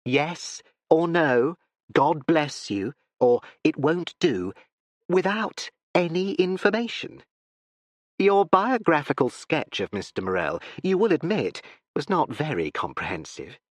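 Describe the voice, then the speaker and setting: A male audiobook reader voicing a cold, measured older judge with an imperturbable, dry manner. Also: on the high side, sing-song, dry recording, pitched above the performer's own voice, exaggerated voice. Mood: sardonic.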